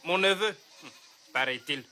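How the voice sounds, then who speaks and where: A man playing a hesitant, proud young village warrior and uncle in a noisy animated film soundtrack. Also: a little high, measured pace. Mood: grudging.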